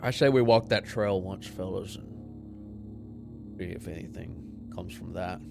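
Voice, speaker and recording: A man's voice: sits low, unhurried, creaky. A man taking part in a tabletop roleplaying game as a player, talking casually, partly in character. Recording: music behind the voice. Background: background music.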